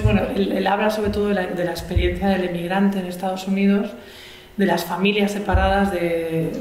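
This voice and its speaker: Una mujer que modera una charla literaria sobre el escenario de un auditorio, hablando con titubeos y frases que vuelve a empezar. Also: female voice, on the low side, darkish tone. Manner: halting, many restarts and fillers. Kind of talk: onstage literary conversation. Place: large auditorium stage. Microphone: table mic. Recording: echoey auditorium, faint noise.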